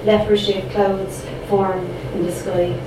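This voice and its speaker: A woman's voice: medium pitch, level tone, slow. Irish woman performing spoken word poetry. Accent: Irish, Cork. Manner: rhythmic, dramatic delivery with chatty asides between poems. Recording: echoey room picked up from a distance, background noise.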